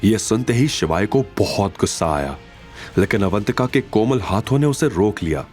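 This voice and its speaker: A man narrating a scripted audio drama in an expressive, suspenseful storytelling style. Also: lowish voice, normal speed. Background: faint music.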